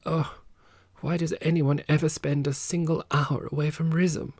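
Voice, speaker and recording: A man's voice: a little high, little pitch movement, normal speed, a little mellow, no echo, clean studio sound, pitched above the performer's own voice. A man voicing an exuberant, playful woman opera singer who talks in teasing exclamations, in an audiobook. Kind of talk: audiobook character voice.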